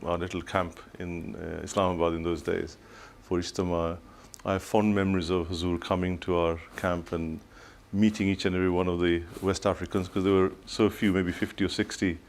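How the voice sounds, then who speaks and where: A man's voice: low-pitched, little pitch movement, brisk, hardly any pauses, a little hiss or hum. An older man from Tanzania, a studio guest on a telethon, speaking reflectively with many restarts.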